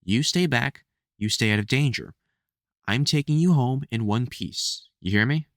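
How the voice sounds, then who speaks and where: An actor playing a gruff, protective vampire hunter who swears and stammers under worry in an audio roleplay. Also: mid-range voice, moderate pace, no echo, clean studio sound, natural voice. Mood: protective, instructing. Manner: sweary, urgent, stammering when worried. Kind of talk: audio roleplay drama spoken to the listener.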